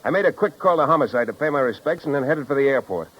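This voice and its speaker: An actor playing a wry, tough, world-weary private detective in a 1950s radio drama, with slangy, wisecracking delivery and narration. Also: male voice, medium pitch, lively intonation, quick pace, lightly acted. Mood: brooding, wry. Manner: hard-boiled, wisecracking, slangy. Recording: dull vintage 1950s radio recording.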